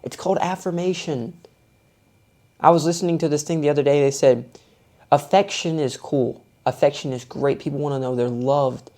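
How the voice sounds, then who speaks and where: A man's voice: on the high side, fairly even intonation, moderate pace, mellow. A young man vlogging with a soothing voice, talking through his thoughts in a thoughtful, self-searching way. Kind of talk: reflective personal monologue.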